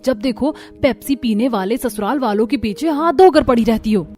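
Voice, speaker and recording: Woman as petulant high-voiced young sister-in-law. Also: normal speed, mellow, soft background music, natural voice. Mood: demanding.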